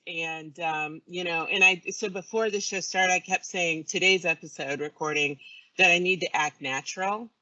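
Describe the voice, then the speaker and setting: A woman speaking as a guest in a casual interview, hesitant and pausing mid-sentence. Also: on the low side, steady tone, pushed and bright, dull audio. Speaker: guest, creative services business owner.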